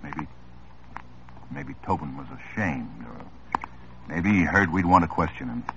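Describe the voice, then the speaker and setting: Deep cop lieutenant voice, goes up and down a lot, muffled hissy old radio tape. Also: male voice, lively intonation, unhurried. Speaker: police lieutenant. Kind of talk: 1950s radio drama acting.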